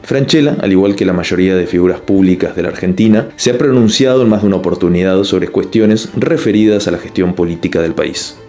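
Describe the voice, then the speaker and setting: Un hombre que narra un ensayo en video con un tono pausado y explicativo. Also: male voice, low-pitched, dark tone, faint music behind. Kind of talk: scripted narration.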